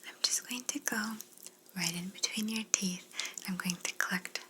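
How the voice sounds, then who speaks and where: A woman voicing a polite, curious alien examiner in an ASMR roleplay, speaking gently and formally in a breathy whisper. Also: medium pitch, normal speed, lightly acted. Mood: mildly exasperated.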